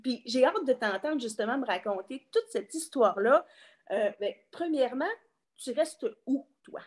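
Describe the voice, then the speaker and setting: A woman with a Quebec French accent hosts a relaxed interview. Her voice sits a little higher than average. She talks informally and chattily, pausing to find her phrasing as she goes. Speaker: interviewer and host. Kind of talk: casual online interview.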